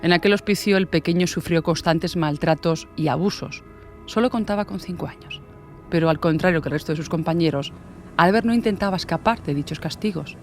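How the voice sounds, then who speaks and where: A man's voice: fairly high pitch, fairly quick, soft background music. A man reading a scripted true-crime narration as the storyteller.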